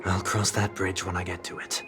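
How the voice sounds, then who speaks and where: An actor playing a composed, formal young man who speaks in measured, deliberate sentences, with music playing behind him. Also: deep voice, little pitch movement, close to an everyday voice. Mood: calm. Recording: game soundtrack music behind.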